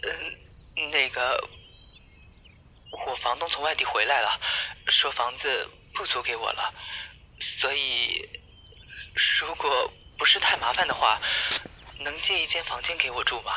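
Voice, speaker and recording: Casting: male actor as awkward, pleading youth, very bright tone, halting speech, radio drama, slight noise. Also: medium pitch, poor audio. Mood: nervous, pleading. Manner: hesitant, halting, apologetic. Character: timid, polite young man, awkward and pleading. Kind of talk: audio drama acting.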